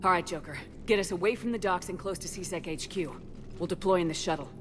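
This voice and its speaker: An actress playing a decisive female military commander in a sci-fi video game, brisk and authoritative. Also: on the low side, soft background music, lightly acted. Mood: commanding.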